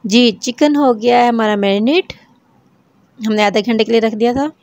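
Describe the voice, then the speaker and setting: A woman with a Pakistani Urdu accent, hosting a home cooking channel, calmly explaining each recipe step in a voice-over. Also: on the high side, moderate pace, no echo. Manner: calm, repeats each step.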